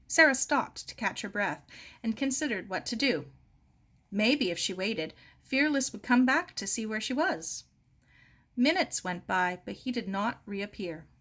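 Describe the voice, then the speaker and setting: Woman, children's story narration, slow pace, medium pitch, expressive read-aloud. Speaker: story reader.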